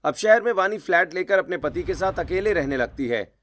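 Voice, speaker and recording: A man's voice: high voice, expressive, fairly quick, fairly bright tone. A man narrating a scripted moral story in a storyteller's role.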